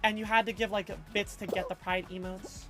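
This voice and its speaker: A woman's voice: mid-range voice, flat delivery, fairly quick, a bit bright. Chatty woman streamer, slangy and rambling. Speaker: livestreamer. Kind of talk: casual live-stream chat, reading chat aloud. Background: faint background music.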